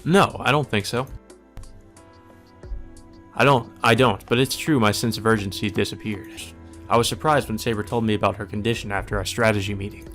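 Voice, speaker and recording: A man's voice: on the low side, brisk, a bit bright. A man reading a visual novel aloud as a let's player, mixing plain narration with casual, wry asides. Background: music.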